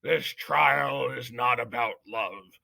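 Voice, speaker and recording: Storybook mouse elder, pompous and stern, deliberate booming delivery, slightly gravelly, put on by a male reader. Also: expressive, sharp tone, dry recording, full character voice. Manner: booming, stern, slow and solemn. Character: pompous old male head mouse judge. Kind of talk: audiobook character reading.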